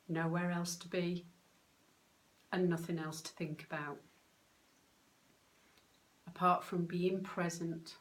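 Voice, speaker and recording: Slow, low-pitched woman guiding meditation. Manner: calm, soothing, unhurried instruction with pauses. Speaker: meditation guide, soul coach. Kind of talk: guided meditation.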